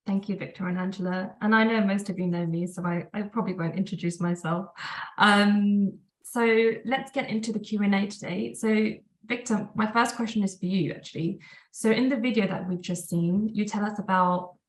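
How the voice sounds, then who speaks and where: Female panel host, polite, medium pitch, roomy echo on the voice. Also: moderate pace. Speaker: event host and moderator. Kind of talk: hosting a panel Q&A.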